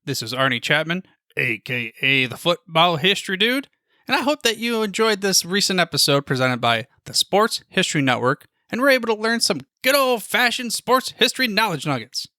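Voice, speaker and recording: A man's voice: high voice, bright, forceful tone, no room sound, studio quality. A man giving a chatty, upbeat promo as a podcast network host, with a folksy manner.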